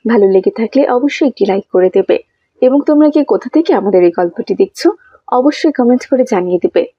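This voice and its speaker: A woman narrating a scripted children's story, with a husky, mellow voice. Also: on the high side, animated, brisk, lo-fi sound, a little hiss or hum.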